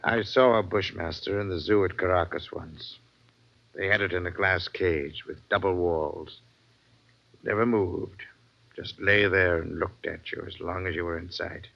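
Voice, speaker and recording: A dull old radio recording of a stern sea captain. His low voice is gruff and terse, wary and faintly ominous in classic radio drama style. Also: fairly even intonation, normal speed. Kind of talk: 1940s radio drama acting.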